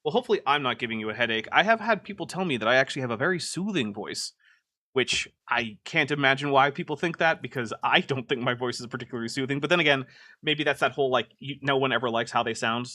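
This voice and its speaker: A man's voice: medium pitch, fast talker, bright, forceful tone, no echo. A man hosting a live stream, reacting to a video in casual, exasperated commentary full of "like" fillers. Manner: chatty and exasperated, many "like" fillers.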